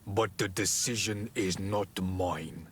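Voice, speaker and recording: Proud male island warrior, low and very slow, solemn ceremonial game dialogue. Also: slight background noise. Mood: grudging, grave. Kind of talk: video game cutscene dialogue acting.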